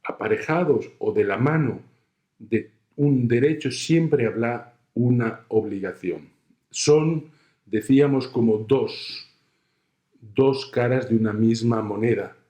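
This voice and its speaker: A middle-aged man from Spain with a Spanish accent, giving a measured, explanatory lecture. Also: mid-range voice, mellow, echoey room. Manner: measured, explanatory, uses everyday examples. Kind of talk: recorded university lecture.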